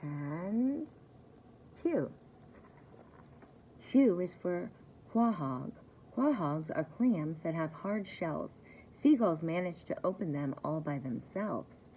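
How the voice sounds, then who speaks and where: A woman reading aloud for young children like a preschool teacher, in a simple, deliberate way, repeating key words. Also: lowish voice, lively intonation, dark tone, a little hiss or hum, poor audio. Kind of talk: children's story time read-aloud.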